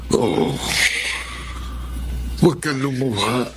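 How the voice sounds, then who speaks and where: A man's voice: mid-range voice, takes it slowly, a little hiss or hum, close to an everyday voice. A man playing a dying old doctor, a serene and fatherly mentor, in an emotional melodrama. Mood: tender, tearful. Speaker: actor in a drama.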